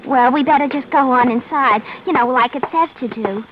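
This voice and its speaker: An actress playing a naive, affectedly refined American dame in vintage radio comedy, polite and genteel. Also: female voice, high-pitched, fairly quick, close to an everyday voice. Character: prim, naive, chirpy dame who fancies herself refined. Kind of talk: 1940s-50s radio comedy-adventure acting. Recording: dull old radio recording, faint noise.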